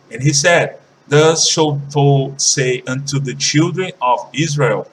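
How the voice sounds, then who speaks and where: A Brazilian man teaching an English lesson, switching between languages and repeating example sentences slowly and carefully. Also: mid-range voice, a bit bright, recorded from a distance, slight background noise, poor audio. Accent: Brazilian Portuguese.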